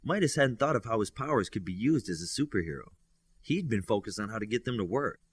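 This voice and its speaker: A man's voice: on the low side, expressive, fast talker, breathy edge. A man reading scripted narration from a superhero novel as an audiobook reader.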